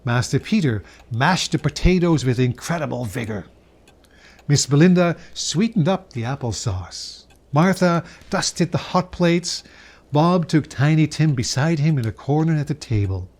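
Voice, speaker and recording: A man reads a classic Christmas story aloud in a fairly high voice. He takes his time, savoring phrases and leaving theatrical pauses, as a storyteller giving a dramatic reading. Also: animated, darkish tone.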